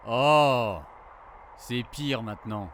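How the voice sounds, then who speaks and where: An actor playing a teasing, affectionate forest ranger in a naturalistic audio drama, with casual, slangy delivery. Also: male voice, mid-range voice, drawn-out words, fairly bright tone, a little hiss or hum.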